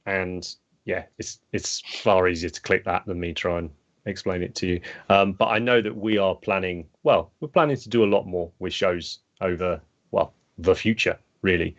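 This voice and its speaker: Gravelly low-voiced podcast host. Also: male voice, moderate pace. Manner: rambling, many fillers. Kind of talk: casual podcast chat. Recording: dull lo-fi remote audio.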